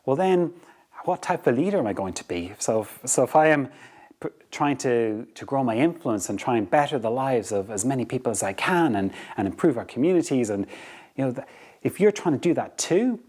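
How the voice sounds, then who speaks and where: A man's voice: mid-range voice, lively intonation, fairly quick, a little mellow, husky. Irish man giving an earnest leadership talk. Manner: earnest, repeats words, checks in with "okay?". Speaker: podcast host.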